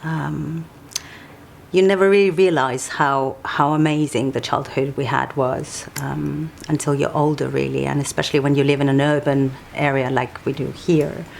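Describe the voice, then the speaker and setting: A middle-aged woman from Chile, thoughtfully recalling her childhood in a documentary interview, with frequent pauses and ums. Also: deep voice, steady tone, creaky, darkish tone, slight background noise. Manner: reflective, unhurried, frequent ums, light laughs. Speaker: artist interviewee. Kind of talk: documentary interview, personal recollection.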